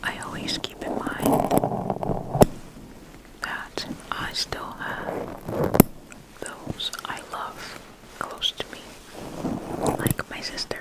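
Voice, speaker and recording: A breathy whisper, delivered very slowly and close to the microphone. The speaker is calm and soothing, offering gentle reassurance as in an ASMR personal attention roleplay, with soft affirmations along the way. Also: poor audio. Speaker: ASMR performer playing a calm comforter.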